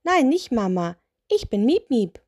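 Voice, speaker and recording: A woman's voice: fairly high pitch, big pitch swings, a little slow, a little mellow, no echo, studio quality, pitched above the performer's own voice. A woman voicing a little fearless witch in a children's story, cheerfully unbothered, cheeky and matter-of-fact.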